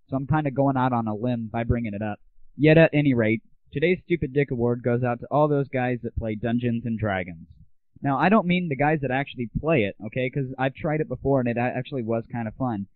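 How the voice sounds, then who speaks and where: A man's voice: mid-range voice, fast talker, no echo. A man hosting his own show, talking to listeners in a casual, chatty way. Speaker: radio show host. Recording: lo-fi dry recording.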